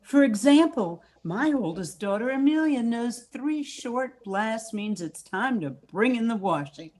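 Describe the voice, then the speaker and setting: A woman as a slow-talking, cheerful radio-ad housewife. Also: fairly high pitch, lively intonation, darkish tone. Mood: eager, cheerful. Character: cheerful housewife mother of twelve giving foghorn testimonial. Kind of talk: old-time radio commercial acting.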